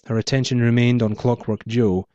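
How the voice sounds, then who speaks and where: A man reading his own first-person noir story as scripted narration, in a measured, dramatic storytelling manner. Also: medium pitch, little pitch movement, mellow, no echo, dull audio.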